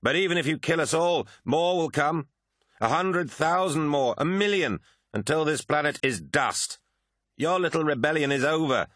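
A man's voice: fairly high pitch, lively intonation, normal speed, fairly bright tone, dry recording, clean studio sound, voice pushed up. A man voicing an arrogant, bloodthirsty superhuman warrior in an audiobook, mocking and goading. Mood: taunting.

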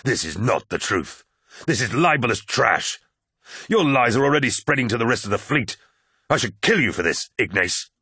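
A man voicing a huge, grim male warrior captain, talking low and clipped with his anger held in check. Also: a little fast, a bit bright, no echo, studio quality. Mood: furious.

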